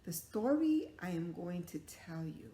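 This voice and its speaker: A woman's voice: fairly low pitch, very animated, a little slow, dark tone. A woman telling a story to young children like a teacher, encouraging them with questions and acting out little sound effects. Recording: roomy echo, clear background noise.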